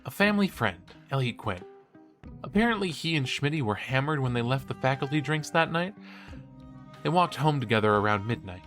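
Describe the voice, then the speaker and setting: An eager young college student co-hosting a true-crime podcast in an audio drama. His pitch moves in a lively, playful way and his delivery is theatrical and cheeky. Music plays softly behind him. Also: fairly quick. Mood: eager, speculating. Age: young adult. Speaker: podcast co-host. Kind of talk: scripted banter in a horror audio drama.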